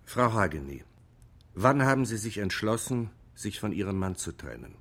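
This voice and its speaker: An earnest courtroom lawyer in a radio drama. His pitch moves widely as he makes his points, formal and articulate. He sounds insistent and probing. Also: sing-song, normal speed, a bit bright, exaggerated voice. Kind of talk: German radio drama acting, courtroom argument.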